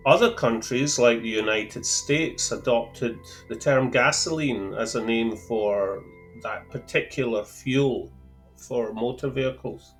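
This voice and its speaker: A man with a Scottish accent explaining fuel terms to camera in a careful, deliberate way, with a lightly wry touch. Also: mid-range voice, faint music behind, low-quality recording. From Scotland. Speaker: outdoor gear channel host. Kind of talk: measured explanatory talk to camera.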